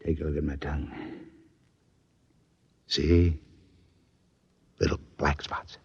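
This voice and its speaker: A man's voice: little pitch movement, slow, a little mellow, heavily acted. An actor playing a big, tough soldier who is dying of plague, in a 1950s radio drama. He sounds weary and fatalistic, and mutters his lines. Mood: weary, grim. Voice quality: deep, husky, weary. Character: dying tough soldier Bat Ears Brady. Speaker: soldier on expedition. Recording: dull vintage radio broadcast.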